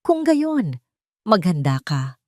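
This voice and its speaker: A woman's voice: on the low side, big pitch swings, takes it slowly, dark tone, no room sound. A woman narrator puts on an imperious old society matriarch for an audio drama. She is cold, unflinching and commanding, bargaining and threatening with total control. The sound is dry and close. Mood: menacing.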